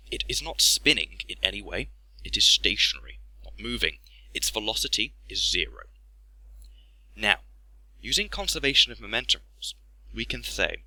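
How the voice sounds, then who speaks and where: A man's voice: on the low side, bright, forceful tone, no echo, rough recording. A man giving a casual, jokey, sweary explainer voice-over in an informal way. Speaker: let's play host explaining physics.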